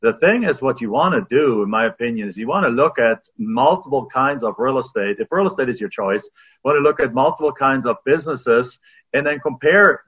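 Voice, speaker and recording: A man's voice: medium pitch, animated, a little fast, breathy edge. A man in his forties from Germany with a German accent, telling his story as a podcast guest. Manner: reflective, many fillers and restarts. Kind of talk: casual interview storytelling. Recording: phone-like remote audio.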